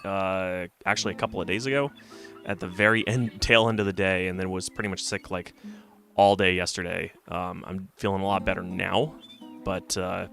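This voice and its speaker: A man with a low, gravelly voice talks his way through a retro game on stream, a bit stuffy from a cold. He rambles and thinks aloud with plenty of fillers, his tone bright and forward. Game music plays faintly behind him. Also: fairly even intonation, normal speed. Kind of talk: casual let's-play commentary.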